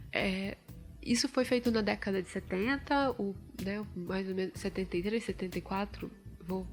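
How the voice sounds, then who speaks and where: Gravelly-voiced woman explaining, hesitant. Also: mid-range voice. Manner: hesitant, many fillers, thinks aloud. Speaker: guest podcaster explaining a topic. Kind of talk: informal explanatory talk.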